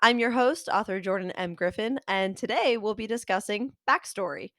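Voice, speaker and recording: A woman podcast host and author, sounding nasal and congested from a cold, giving a measured, scripted lesson on fiction writing. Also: mid-range voice, fairly bright tone, dry recording, studio quality.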